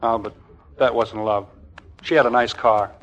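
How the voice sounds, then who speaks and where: An actor playing a bashful, flustered young army lieutenant who hesitates and stammers, in a 1940s radio comedy. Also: male voice, medium pitch, steady tone, moderate pace, heavy background noise, lightly acted. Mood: nervous. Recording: 1940s radio broadcast recording.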